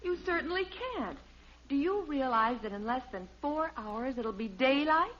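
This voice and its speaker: A woman's voice: high-pitched, animated, moderate pace, a bit bright. An actress playing a long-suffering, sensible American wife and mother in a 1950s radio sitcom, scolding but patient. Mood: weary, patient. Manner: exasperated but patient, clearly enunciated. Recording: muffled, hissy old radio recording.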